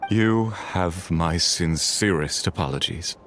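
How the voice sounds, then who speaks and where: A man's voice: deep voice, takes it slowly, a bit bright, a little hiss or hum. A man voicing a stern, dutiful follower of a noble lady in a video game, formal and urgent. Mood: apologetic. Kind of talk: dramatic video game voice acting.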